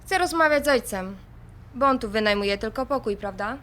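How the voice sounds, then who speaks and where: Impulsive grown-up daughter, high sharp voice, sarcastic, confrontational, audio drama. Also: female voice, animated, moderate pace. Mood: sarcastic, provocative. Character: impulsive, defiant adult daughter confronting her father.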